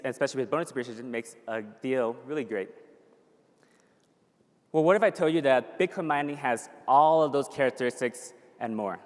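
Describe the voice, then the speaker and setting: A man in his thirties giving an upbeat conference presentation, pitching with some fillers and restarts. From born in a refugee camp in Thailand, raised in the US. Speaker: conference speaker. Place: conference hall. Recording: long hall reverb.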